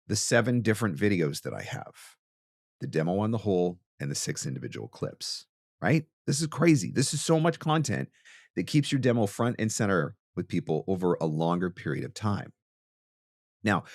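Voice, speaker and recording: Low-voiced man, dry close sound. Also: dry recording. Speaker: host, voice actor teaching marketing. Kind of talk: instructional monologue to camera.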